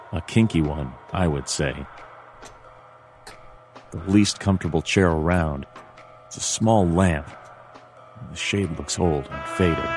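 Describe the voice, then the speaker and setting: Deep-voiced, deadpan noir detective. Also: male voice. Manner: deadpan, laconic. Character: world-weary, dry male bird private detective. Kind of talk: noir game narration.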